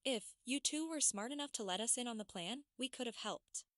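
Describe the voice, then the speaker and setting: A man voicing an exasperated young female werewolf, hushed and indignant as if scolding, in a fantasy audiobook. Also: very high-pitched, lively intonation, a little fast, no echo, clean studio sound, voice pushed up.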